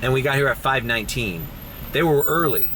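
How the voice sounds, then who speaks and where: Young rideshare driver, groggy early-morning vlog voice, animated and profane, in a car with faint road noise. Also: male voice, mid-range voice, a little fast. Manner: swears casually, animated storytelling. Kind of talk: casual driving vlog. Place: inside a car.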